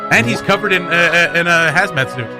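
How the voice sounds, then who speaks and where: A man's voice: high-pitched, lively intonation, quick pace, over music. A man hosting a morning radio show with casual, joking, rambling banter and announcements. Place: radio studio.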